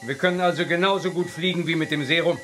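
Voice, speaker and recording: Male scientist professor, sci-fi radio play. Slightly high voice, grave, deliberate, explanatory, light music underneath. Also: fairly even intonation, pushed and bright. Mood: matter-of-fact. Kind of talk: children's sci-fi radio drama acting.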